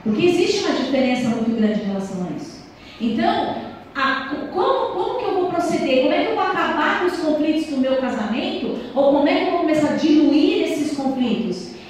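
A woman's voice: sits high, big pitch swings, a little fast, a little mellow, far from the mic, slight background noise. Earnest woman preaching in echoey hall. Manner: earnest, preacherly, standing with handheld mic. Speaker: couples' speaker. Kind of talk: church marriage talk. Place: small church hall. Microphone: handheld mic, far camera.

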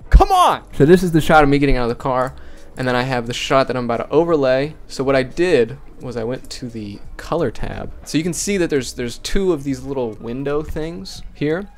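A young man whose first language is Finnish, talking casually and jokingly into a close handheld mic in a small bedroom as he walks through how he edited a video. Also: medium pitch. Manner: chatty, jokey, animated. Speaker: video creator. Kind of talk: casual tutorial vlog. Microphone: handheld dynamic mic close up.

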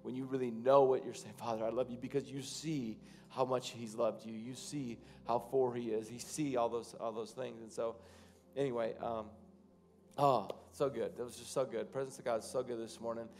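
Halting man preaching on a church stage. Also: medium pitch, little pitch movement, darkish tone. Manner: loose, halting, repeats and restarts phrases, rising to fervent prayer. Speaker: pastor. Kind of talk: sermon and congregational prayer. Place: large church stage, indoors.

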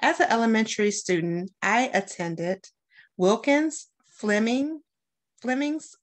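A middle-aged American woman from Detroit, a school principal, doing an expressive storytime read-aloud with deliberate phrasing. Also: on the high side. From Detroit, United States. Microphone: webcam at about arm's length.

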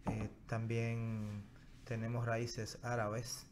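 Guest spot: man, 30s, halting and filler-heavy, mid-pitched, faint hum behind. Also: completely flat delivery. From Dominican Republic. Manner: hesitant, many fillers and restarts. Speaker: guest journalist. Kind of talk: casual interview chat. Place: small TV studio with backdrop. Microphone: studio mic on stand.

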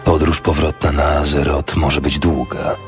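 An actor playing a steadfast, devoted human paladin in an audiobook drama, speaking in a measured, earnest way. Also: male voice, rumbling low, little pitch movement, over music. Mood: earnest, tender. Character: steadfast human paladin warrior, devoted, faithful, quietly wry.

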